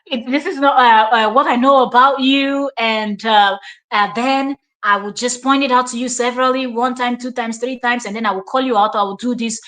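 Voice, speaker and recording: A Nigerian-accented woman hosts a reaction commentary in a fairly high voice. She is confrontational and emphatic, and she restates her points to hammer them in. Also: fairly even intonation, normal speed, fairly bright tone. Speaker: commentary host.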